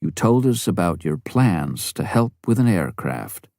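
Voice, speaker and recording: A man reading an audiobook as scripted narration, with measured, clearly phrased delivery. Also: low-pitched, sing-song, darkish tone, dry recording, clean studio sound. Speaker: audiobook reader.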